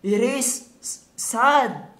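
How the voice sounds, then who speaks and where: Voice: mid-range voice, lively intonation, very slow, fairly bright tone, roomy sound. A preacher from the Philippines with a Filipino accent, teaching in a sermon, mixing two languages and adding rhetorical tag questions. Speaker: pastor preaching.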